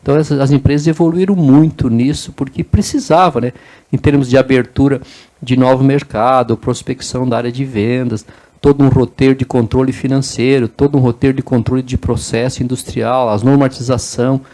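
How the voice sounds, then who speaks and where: A man's voice: medium pitch, fairly even intonation, brisk, warm tone. A middle-aged man, a guest in an interview, explaining at length and tagging his sentences with "né?". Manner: explanatory, frequent "né?" tags and repeated words. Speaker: interview guest, business association president. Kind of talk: conversational interview.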